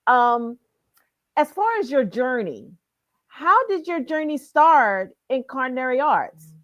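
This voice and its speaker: A woman hosting a talk show over a video call, speaking in a measured, affirming way. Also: high-pitched, big pitch swings, fairly bright tone, dry recording, lo-fi sound. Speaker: host.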